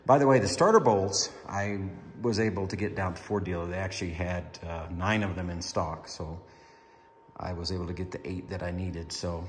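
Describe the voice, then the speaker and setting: Low-voiced man in an echoey garage. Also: fairly even intonation, moderate pace. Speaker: hobbyist car builder presenting a build update. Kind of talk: casual explanatory vlog. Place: indoor home garage workshop. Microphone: handheld camera, speaker behind it. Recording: reverberant garage, clear background room noise.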